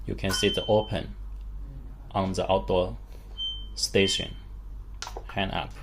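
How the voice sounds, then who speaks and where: A man demonstrating a product step by step in non-native English, speaking in short, halting phrases and simple instructions. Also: deep voice, little pitch movement, background noise.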